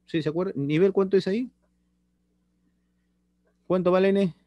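A man's voice: sits high, fairly even intonation, moderate pace, dry recording, dull audio. A middle-aged man teaching a chemistry class over a video call, repeating phrases and checking in with students. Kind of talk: online class lecture. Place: small room indoors. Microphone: laptop webcam on video call.

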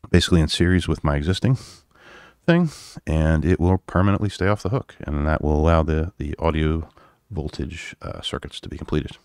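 Really deep gravelly guy, off the cuff tech demo, kinda halting, pokes fun at himself. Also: a little mellow, no room sound, studio quality. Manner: halting, self-deprecating. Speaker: electronics hobbyist presenter. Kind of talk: casual unscripted project demo. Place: indoors at a home workbench.

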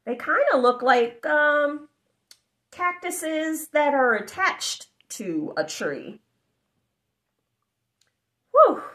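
Lively high-voiced woman reading a kids' book. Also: drawn-out words, pauses often. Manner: animated, exclamatory, child-directed with asides. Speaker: storybook narrator. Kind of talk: reading a children's picture book aloud. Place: living room, indoors.

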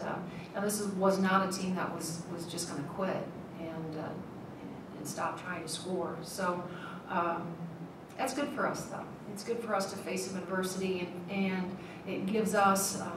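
A middle-aged woman coach at a postgame press conference, speaking in a measured way with many ums and restarts. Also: on the low side. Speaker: head basketball coach. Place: press room, seated at a table. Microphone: table mic, at a distance. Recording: echoey room, mic at a distance, noisy.

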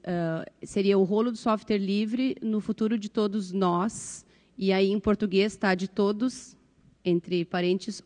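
A woman's voice: medium pitch, a little mellow. A middle-aged woman with a southern Brazilian accent talks informally on a panel into a handheld microphone, often checking in with short tag questions. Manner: conversational, frequent tag questions. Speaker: volunteer translator, panelist. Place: conference panel stage, indoors.

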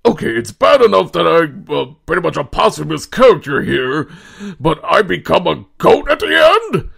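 A man plays an indignant animal in a game fandub. The voice is creaky and very animated in pitch. It complains in wisecracks. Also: moderate pace, exaggerated voice. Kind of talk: amateur game fandub bloopers.

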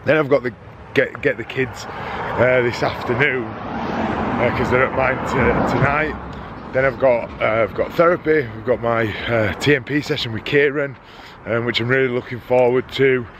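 A man's voice: mid-range voice, fairly even intonation, normal speed, background noise. A man, vlogging casually to a camera at arm's length, slightly nasal from a head cold, rambling with fillers. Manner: chatty, rambling with fillers and restarts. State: stuffy nose from a head cold. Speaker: vlogger, dad. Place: outdoors on a country road, then a home office. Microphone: camera held at arm's length.

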